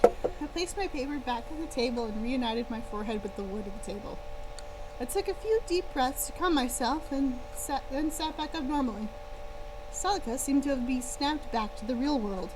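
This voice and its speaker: A woman reading a first-person story aloud on a live stream, expressive and playful, with casual asides. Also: sits high, normal speed. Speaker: author-style reader and streamer. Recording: clear background hum and noise.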